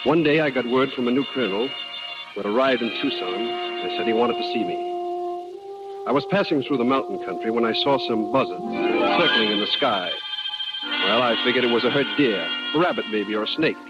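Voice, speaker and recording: An actor playing a plainspoken former soldier turned prospector, telling his story in measured, matter-of-fact radio drama style. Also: mid-range voice, lively intonation, lightly acted. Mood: measured, grave. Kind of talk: 1950s radio drama narration. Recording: dull old radio recording, loud music behind.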